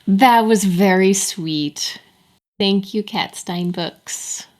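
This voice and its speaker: A woman's voice: medium pitch, very slow, a little mellow. A woman speaking casually as the co-host of a live event.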